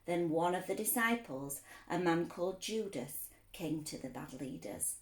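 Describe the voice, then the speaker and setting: A woman reading a story aloud for children, expressive, explaining things simply as a teacher would. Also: fairly low pitch, measured pace, echoey room.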